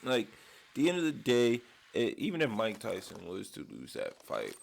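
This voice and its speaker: A man who is sick with a raspy voice, hosting his channel and ranting in a casual, profane way from his man cave at home. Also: lowish voice, wildly swooping pitch, moderate pace, slight background noise. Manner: profane, heated rant. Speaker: channel host.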